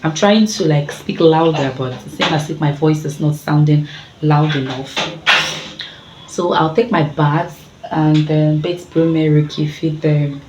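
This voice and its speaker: A young Nigerian woman with a husky voice and a sore throat, chatting casually to camera and laughing between sentences as a family vlogger. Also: deep voice, steady tone, a little slow. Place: room at home, indoors. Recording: echoey room with background noise.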